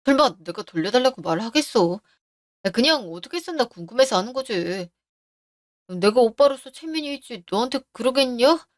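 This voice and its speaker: A woman voicing a middle-aged man who is deep in debt and blames others, in a narrated radio story. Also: expressive, moderate pace, no echo, clean studio sound, close to an everyday voice. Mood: lecturing. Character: failing middle-aged man, indebted front-man boss.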